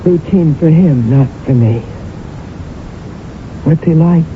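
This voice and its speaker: Tinny radio recording of a bitter, grieving comedienne. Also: female voice, sits low, takes it slowly. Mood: wry, brittle. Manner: wry, brittle, dramatic. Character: bitter, grieving wife, a Broadway comedienne wracked with guilt. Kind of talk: radio drama acting. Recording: muffled, noisy old radio broadcast.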